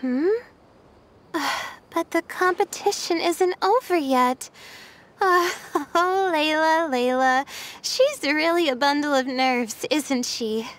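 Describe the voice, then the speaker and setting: A woman voicing a calm, thoughtful young female student in an anime-style video game, with a measured, musing delivery. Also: high-pitched, a little hiss or hum. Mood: relaxed. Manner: measured, musing, matter-of-fact. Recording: studio voice over game music. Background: background game music.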